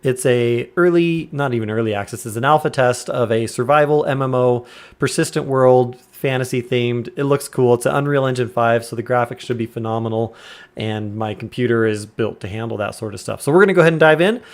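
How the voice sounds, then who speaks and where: A man in his thirties chatting casually on a live stream from a quiet room at home, keeping his voice low on purpose and greeting viewers by name. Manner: deliberately quiet, subdued voice. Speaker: game streamer. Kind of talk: casual live-stream chat while gaming.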